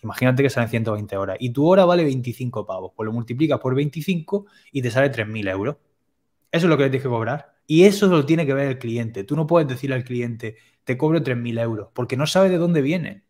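A man's voice: mid-range voice, lively intonation, a little mellow. Young man explaining on a video call. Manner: plain, step-by-step explanations with quick asides. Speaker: web development teacher, guest expert. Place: home room. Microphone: video call, headset.

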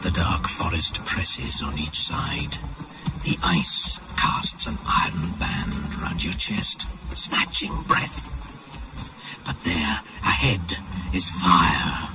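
An ancient shaman spirit speaks in a deep, unhurried voice over clearly audible music. He is proud and brooding, chanting his poetic lines like solemn incantations in an old radio play. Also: fairly even intonation, low-quality recording. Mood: ominous. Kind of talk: dramatic radio play monologue. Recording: 1980s radio drama with music behind.

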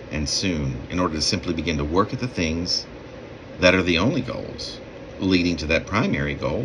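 A man in his fifties reads his own poem in halting, deliberate phrases with emphatic stresses, recorded in a small room. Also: deep voice, background noise. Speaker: poet reading own work. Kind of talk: poetry reading.

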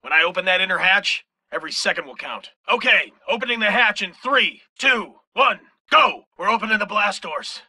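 A man's voice: sits high, lively intonation, normal speed, pushed and bright, full character voice. A man playing a tense military officer in a video game, commanding and urgent.

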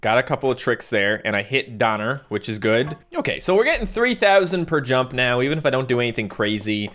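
A young man from Newfoundland hosting a casual let's-play, excitable, yelping and joking at the game. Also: medium pitch, brisk. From Newfoundland, Canada. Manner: excitable, yelps and jokes at the game. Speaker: gaming channel host. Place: home recording room.

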